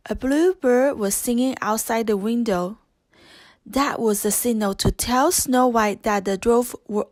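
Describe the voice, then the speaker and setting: A woman narrating a scripted children's story with gentle, careful phrasing. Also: on the high side, no room sound. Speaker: audiobook narrator.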